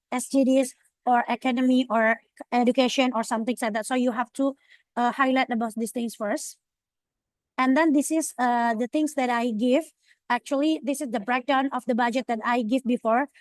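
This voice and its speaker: A woman's voice: high voice, no echo. A young woman from Indonesia with an Indonesian accent, giving an online orientation presentation with many fillers and restarts. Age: young adult.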